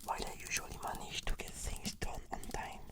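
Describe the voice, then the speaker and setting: A woman whispering measured, articulate, scripted answers as the client in an ASMR roleplay. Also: fairly bright tone, dry recording.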